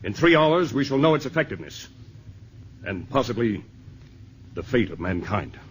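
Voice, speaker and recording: An actor playing a stern army general briefing officials in a 1950s radio drama, grave and commanding. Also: male voice, mid-range voice, big pitch swings, normal speed, close to an everyday voice. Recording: old radio broadcast with faint hiss.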